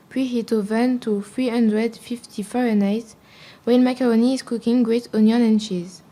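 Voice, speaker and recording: Teen girl, slightly high, mellow tone, careful scripted reading. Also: poor audio. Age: teens. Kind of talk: reading recipe steps.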